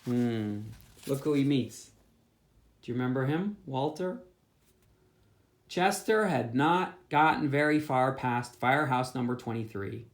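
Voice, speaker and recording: A pastor reading a children's picture book aloud, with playful asides. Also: male voice, medium pitch. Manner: animated storytelling with asides.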